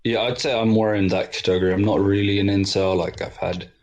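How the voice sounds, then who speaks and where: A man in his twenties from the Netherlands, a podcast guest chatting in a relaxed, loose way. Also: fairly low pitch, a little fast, lo-fi sound. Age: 20s. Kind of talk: casual chat.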